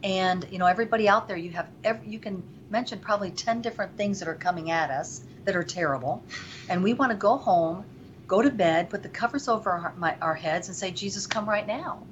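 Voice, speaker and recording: A woman's voice: mid-range voice, a little fast. A woman from Venezuela speaking as an interview guest, earnest and rambling with many fillers. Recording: dull lo-fi with faint noise.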